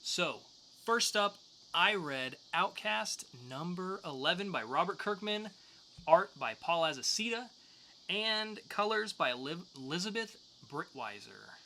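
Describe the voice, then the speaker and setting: A man hosts a geek news and review podcast with a bright, forward voice. His delivery is casual and joking, and there is faint noise behind him. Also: high-pitched, lively intonation, a little slow. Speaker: podcast co-host. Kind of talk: news segment and comics review.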